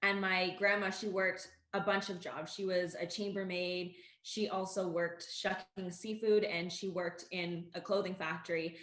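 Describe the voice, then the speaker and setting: A young Canadian woman co-hosting a casual chat, telling a family story in an earnest, steady way. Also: on the low side, unhurried, few pauses, reverberant. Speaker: co-host.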